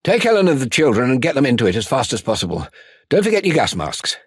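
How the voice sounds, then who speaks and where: Man reading as a firm, husky wartime hero. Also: mid-range voice, wildly swooping pitch, fast talker, no echo, clean studio sound, voice pushed up. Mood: firm, urgent. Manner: firm, urgent. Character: Clement, resolute man taking command during a wartime air raid. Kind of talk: audiobook character dialogue.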